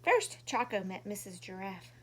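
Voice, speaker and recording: A woman doing an animated read-aloud for young children as a storytime reader. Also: mid-range voice, moderate pace.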